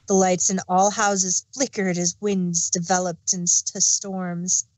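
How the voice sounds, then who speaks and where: A woman's voice: mid-range voice, no echo, poor audio. A woman reading fan fiction aloud as an amateur storyteller and narrator.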